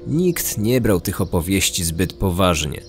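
Podcast narrator: male, fairly low register, scripted crime storytelling, faint music underneath. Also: big pitch swings, unhurried. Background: background music.

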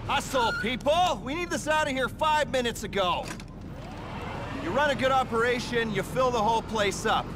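Jittery, high-pitched shady government agent. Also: male voice. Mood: barking, impatient. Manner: rambling, stammering, self-contradicting, abruptly bossy. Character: jittery, evasive, foul-mouthed covert government arms dealer. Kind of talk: video game cutscene acting. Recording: clear vehicle and ambient noise.

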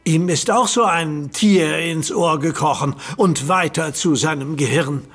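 Earnest young hero, kinda husky, bit high, reports stuff seriously, radio play. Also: male voice, a little slow, a bit bright, soft background music, full character voice.

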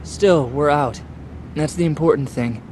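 A man's voice: fairly high pitch, unhurried, darkish tone, a little hiss or hum, close to an everyday voice. An actor playing a hopeful young man on the run in an audio drama. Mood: reassuring.

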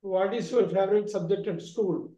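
A man with an Indian accent teaches spoken English in a high, very soft-edged, dark voice. He asks questions and repeats model sentences. The sound is muffled and roomy over a video call. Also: fairly even intonation, moderate pace. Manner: instructive, repeats questions and phrases. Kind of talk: online spoken English lesson, questioning and correcting. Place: online video call from a room.

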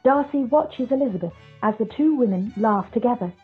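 A woman's voice: fairly high pitch, animated, fairly quick, slightly rough. A woman narrator reading scripted stage directions for a drama. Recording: muffled video call, music underneath.

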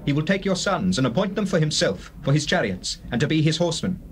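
A man's voice: a little high, sing-song, fast. An actor playing a stern old male prophet, declaiming warnings in a dramatized scripture reading. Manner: declamatory, admonishing. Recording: hissy old cassette recording.

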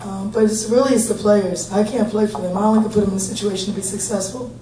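A woman coach in her thirties speaks steadily and plainly at a post-game press conference. Her voice is mellow and her pitch stays fairly level. The sound comes from a distance, with a roomy echo and a faint hum behind it. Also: fast talker. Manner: steady, plain-spoken, upbeat. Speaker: head basketball coach. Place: large conference room. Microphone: table mic, heard from a distant camera. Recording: echoey room, distant mic, faint hum.

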